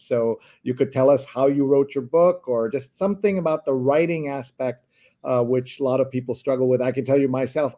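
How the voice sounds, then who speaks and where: A man hosting a live talk show in a casual, chatty way, with halting asides. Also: medium pitch, mellow.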